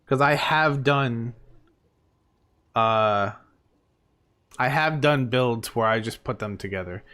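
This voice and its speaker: A man's voice: medium pitch, slow, pushed and bright. A man streaming a game live, chatting casually and rambling with plenty of fillers, tired and losing his voice.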